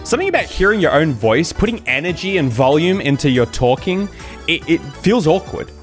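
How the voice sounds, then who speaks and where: A man's voice: fairly high pitch, sing-song, fairly quick, faint music behind. A man from Australia with an Australian accent, chatting casually as a streamer in a rambling, jokey way. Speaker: YouTuber and streamer. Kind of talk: casual livestream chat.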